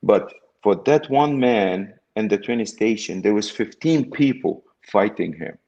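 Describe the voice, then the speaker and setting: A middle-aged man from Egypt with a somewhat low voice talks as an interview guest. He is emphatic, repeats key phrases and keeps checking in with "okay?" as he tells his story. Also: lively intonation, measured pace, darkish tone, dull audio.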